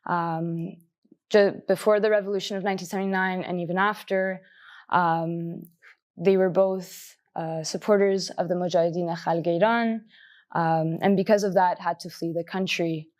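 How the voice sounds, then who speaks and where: A young woman in her twenties with a somewhat low voice. She answers panel questions earnestly, pausing and restarting often. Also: fairly even intonation, darkish tone. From Canada. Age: 20s. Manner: earnest, halting, many fillers and restarts. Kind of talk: personal answers in a panel discussion.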